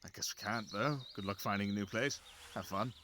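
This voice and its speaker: A man's voice: on the low side, fairly quick, sharp tone, slight background noise. A man voicing a jealous, sarcastic fox familiar in a fantasy audio roleplay, fussy and dramatic, stammering out his protests. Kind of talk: fantasy ASMR roleplay acting.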